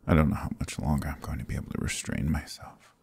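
Man doing a demon prince, very low, husky, hushed purring whisper, possessive and commanding. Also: fairly even intonation, fast talker, full character voice. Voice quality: deep gravelly voice. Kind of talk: ASMR roleplay.

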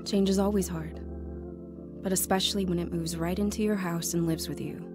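A woman's voice: lowish voice, fairly even intonation, fairly quick, over loud music, natural voice. An actress playing a lonely, anxious young woman narrating her life story in an audio drama. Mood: reflective, sad. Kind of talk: first-person dramatic narration.

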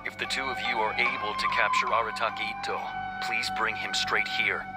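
A man's voice: on the low side, wildly swooping pitch, brisk. A man playing an earnest, formal samurai officer in an anime game, speaking seriously. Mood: grave, firm. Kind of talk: anime video game voice acting. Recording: loud background music.

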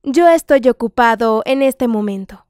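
Measured, fairly high female prompt voice. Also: animated, a little mellow, dry recording, studio quality. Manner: clear, measured phrase reading. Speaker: Spanish prompt reader. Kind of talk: reading Spanish prompts.

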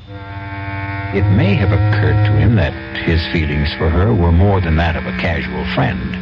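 An actor narrating a mystery radio drama gravely. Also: male voice, low voice. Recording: muffled radio recording over loud music.

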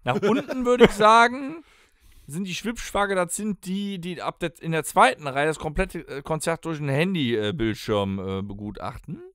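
Jokey man with a slightly high, dry sound. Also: animated, normal speed, fairly bright tone, no room sound. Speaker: podcast host. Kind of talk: casual joking podcast chat. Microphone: close studio podcast microphone.

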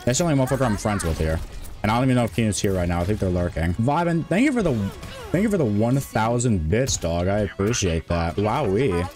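A man in his twenties streaming a game, talking in a rambling, monotone way with many restarts. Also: fairly low pitch, fast, faint music behind. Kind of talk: casual stream chat.

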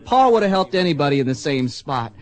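A man's voice: a little high, very animated, fast talker, soft background music. An actor playing an earnest, plain-spoken blind young man in a western radio drama. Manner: plain-spoken, polite, emotional. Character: earnest blind youth of the Old West, grieving son.

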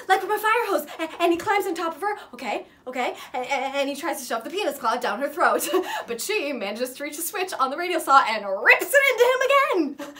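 An actress playing an excitable young woman who acts out a gory horror film in a theatrical monologue, halting and stammering with nervous laughter. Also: fairly high pitch.

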